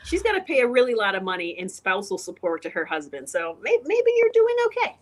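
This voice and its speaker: A woman's voice: medium pitch, huge pitch swings, brisk. A woman co-hosting a live comedic recap chat, playful and teasing.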